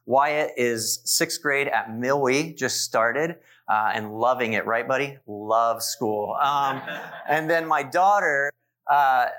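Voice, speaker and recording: A man in his forties preaching in church, telling stories with asides to the congregation. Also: medium pitch. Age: 40s. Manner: warm storytelling with asides to the congregation. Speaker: pastor. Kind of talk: sermon.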